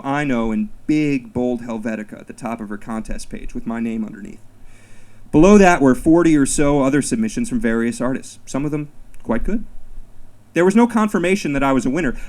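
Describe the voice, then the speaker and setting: A man reading his own first-person comic essay at a live literary reading, in measured, deliberate phrases with mock-serious emphasis. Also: on the high side, darkish tone. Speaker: author reading own work.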